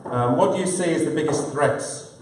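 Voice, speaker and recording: A man's voice: a little high, normal speed, darkish tone, slight background noise. A man moderating a live conference session, speaking loosely with frequent hesitations. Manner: loose, hesitant with many fillers. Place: large conference hall. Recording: echoey hall, distant mic.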